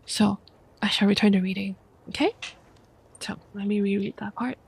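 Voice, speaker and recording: A young woman with a stuffy nose from a cold, reading a book aloud softly as an ASMR streamer into a close microphone. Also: medium pitch, steady tone, gravelly, breathy edge. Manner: soft, quiet, unhurried reading voice. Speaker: VTuber streamer.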